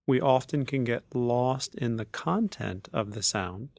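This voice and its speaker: A man leading a guided meditation as a teacher, speaking in unhurried, measured phrases with long pauses. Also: medium pitch, expressive, vocal fry, a little mellow, no echo, studio quality.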